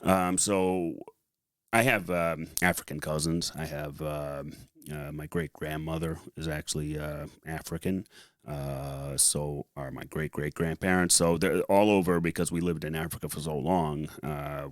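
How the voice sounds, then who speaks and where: A man telling his personal story casually as a podcast co-host, halting often as he gathers his thoughts. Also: extremely low pitch, steady tone, no echo, studio quality. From born in Liberia, later raised in the US, of Lebanese family. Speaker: podcast co-host and article writer.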